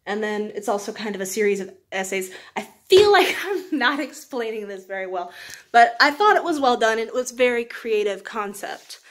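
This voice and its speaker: A woman's voice: on the high side, expressive, normal speed. A young woman chatting casually as she reviews books, rambling in an informal, self-deprecating way with small laughs. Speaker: book reviewer, video host.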